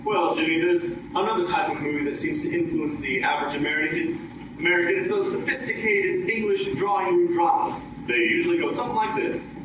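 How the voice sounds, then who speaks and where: Guy as eager boyish radio comedy sidekick, lively swoopy voice, echoey stage with music. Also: fairly high pitch, brisk, recorded from a distance, very noisy. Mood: eager, joking. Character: eager, girl-crazy young comic sidekick. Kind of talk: old-time radio comedy acting.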